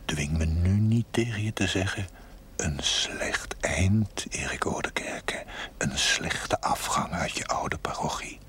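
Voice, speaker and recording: A stern inner voice in a television drama, very low and half-whispered. He scolds a chaplain deliberately and theatrically, full of reproach. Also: expressive, a bit bright, breathy edge, exaggerated voice. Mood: grave, scolding.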